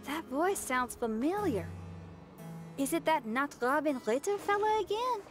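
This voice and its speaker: An actress voicing a curious, plain-spoken young adventurer in a video game. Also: female voice, high-pitched, very animated, measured pace, over music. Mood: puzzled. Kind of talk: anime-style video game dialogue.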